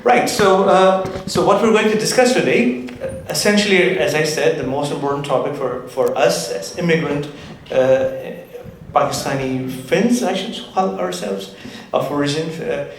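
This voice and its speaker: A man moderating a panel discussion at a community event. He talks haltingly, with many restarts and fillers. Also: fairly high pitch. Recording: very echoey hall with some noise.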